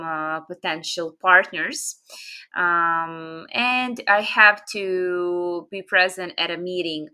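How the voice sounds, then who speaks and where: Young Serbian-native woman, bright tone, patient English teacher full of ums. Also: mid-range voice. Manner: patient, explains slowly with many fillers. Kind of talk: casual online grammar lesson.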